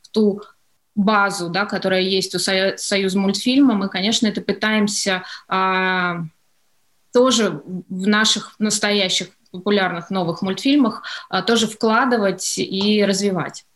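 A woman answering interview questions as an executive, explaining in a measured, businesslike way with hesitant pauses mid-phrase. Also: mid-range voice, steady tone, fairly bright tone. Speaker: studio executive, interview guest.

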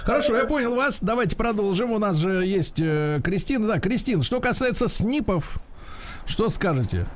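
A male radio host with a high, very animated voice. He interviews live on a talk show, often restarting and repeating words as he builds a question. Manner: halting, repeats words while framing questions.

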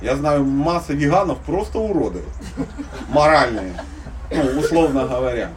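A man's voice: medium pitch, expressive, moderate pace, crowd noise. A man from Ukraine giving an informal religious lecture to a group, conversational and blunt, with slangy wording and humorous illustrations. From Dnipropetrovsk, Ukraine. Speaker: spiritual teacher giving a lecture. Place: live talk to a listening group. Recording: distant microphone, faint background noise.

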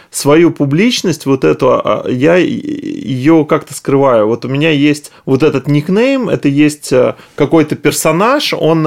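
Creaky-voiced man in casual discussion. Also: a little high, a little mellow. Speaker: company owner and interview guest.

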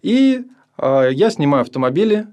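A man's voice: a little high, sing-song, normal speed, mellow. A man of almost thirty, a podcast guest, talking earnestly about himself with many hesitations. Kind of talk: casual interview.

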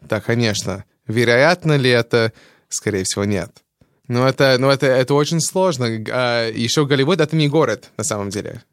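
A man's voice: mid-range voice, brisk, no echo, studio quality. Man speaking Russian. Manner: lively, searching for words with fillers. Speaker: guest actor. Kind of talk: casual chat.

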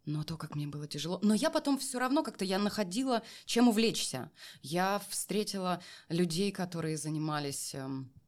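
A woman's voice: lowish voice, animated, normal speed. A woman telling a candid personal story as an interview guest, reflective and emphatic, repeating phrases for weight. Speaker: interview guest, voice artist and former TV and radio presenter.

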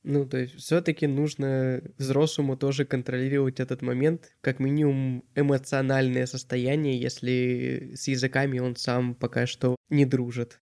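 Male host, calm, flat and even delivery, summarizing interviewer. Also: mid-range voice, moderate pace, few pauses. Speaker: podcast host. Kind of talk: interview questions.

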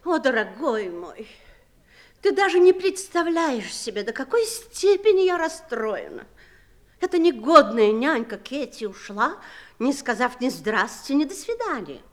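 High-voiced, flustered genteel wife. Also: female voice, big pitch swings, unhurried. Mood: distressed, pleading. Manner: plaintive, theatrical. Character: distressed, helpless genteel mother and wife. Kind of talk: 1960s radio play acting.

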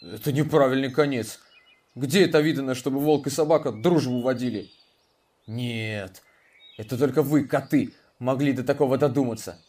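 A man's voice: medium pitch, moderate pace, a little mellow, far from the mic, close to an everyday voice. A man voicing a restless, friendly male dog in an audio tale who talks bluntly and ends with a bark.

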